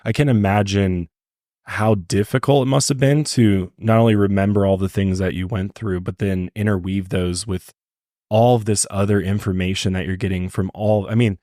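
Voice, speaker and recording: A hoarse male podcast host, chatty and rambling, talking in a studio. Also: lowish voice, fairly even intonation, normal speed, mellow, no echo. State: hoarse from illness. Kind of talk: podcast interview.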